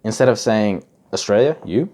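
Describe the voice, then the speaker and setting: A man's voice: on the low side, lively intonation, normal speed, warm tone. A young man from Indonesia giving casual advice to camera, chatty and full of "like" and "right?". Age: young adult. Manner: chatty, many fillers like "like" and "right?". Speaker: self-improvement YouTuber.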